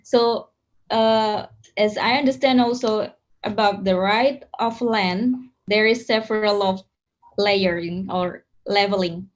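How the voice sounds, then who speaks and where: A woman's voice: mid-range voice, steady tone, takes it slowly. A woman with an Indonesian accent moderating an online panel, talking with many fillers and restarts over a video call. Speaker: webinar moderator. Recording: muffled lo-fi video call audio.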